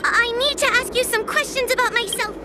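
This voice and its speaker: A woman's voice: extremely high pitch, steady tone, a little fast, loud music behind the voice. A woman playing a timid, anxious young girl in a video game, speaking hesitantly. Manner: hesitant, nervous, often faltering.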